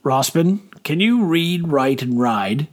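A man voicing a tall, rugged army major in an audiobook, calm and resolute, with formal, authoritative military speech. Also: fairly high pitch, slow pace, voice pushed up. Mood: brisk, decisive. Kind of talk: audiobook character dialogue.

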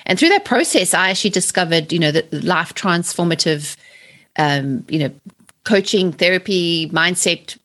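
South african lady in her 40s, lowish voice, chatty and lively, laughs a lot. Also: moderate pace. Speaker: guest, mindset trainer. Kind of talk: casual interview chat.

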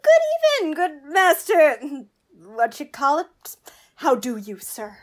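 A woman's voice: high voice, wildly swooping pitch, takes it slowly, full character voice. A woman playing a wordy, pompous male court fool in a Shakespearean radio play, with a theatrical, mock-learned manner. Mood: cheeky.

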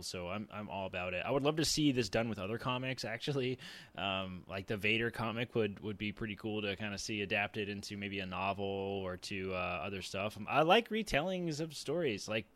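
A man's voice: on the low side, a bit bright. A man chatting casually as a podcast panelist, thinking aloud in a hesitant, rambling way. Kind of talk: casual roundtable discussion.

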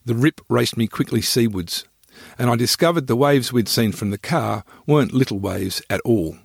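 A man narrating his own scripted travel memoir in a measured, descriptive storytelling manner. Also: mid-range voice, creaky, breathy edge, no room sound, studio quality.

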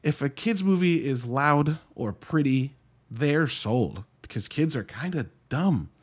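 A man's voice: on the high side, expressive, takes it slowly, husky. A man giving a casual solo movie review in a conversational, wry way, breaking into his own thoughts with dry asides. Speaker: podcast host and movie reviewer.

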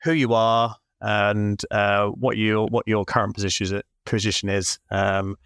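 A man's voice: on the low side, little pitch movement, a little slow, a bit bright, smooth, no room sound, studio quality. A man hosting a podcast interview, asking friendly, rambling questions with many fillers. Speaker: podcast host.

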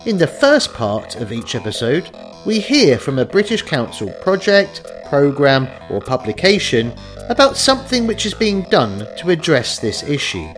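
Male podcast host, scripted read, slightly high, animated intonation. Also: soft background music, poor audio. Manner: clear, measured read-out delivery.

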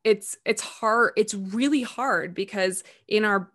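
A woman's voice: mid-range voice, takes it slowly, fairly bright tone. A woman health coach talking in a casual conversation, chatty and full of "like" and "you know".

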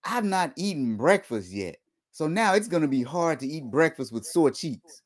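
A man's voice: on the high side, very animated, moderate pace. A man hosting a live podcast, joking casually with his guest and reading out viewer questions. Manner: joking, conversational. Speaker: podcast host. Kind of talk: casual live-stream chat.

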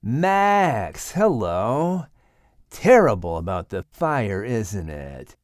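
A man's voice: on the low side, very animated, very slow, dry recording, clean studio sound, voice pushed down, full character voice. A man voicing a genial, easygoing male animal with a polite, chatty manner in an audiobook. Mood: friendly. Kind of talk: audiobook character voice.

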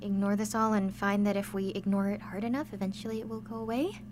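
A woman's voice: mid-range voice, fairly even intonation, quick pace, darkish tone, a little hiss or hum, lightly acted. A young woman playing an anxious, stubborn, sarcastic superpowered character in a modern audio drama, guarded and informal in manner. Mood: reluctant, sarcastic.

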